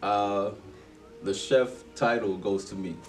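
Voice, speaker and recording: A man in his thirties, originally from Haiti, a chef and guest on a podcast, talking easygoing and soft-spoken with many ums. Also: on the low side, fairly even intonation, takes it slowly, recorded from a distance, soft background music. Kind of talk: casual interview.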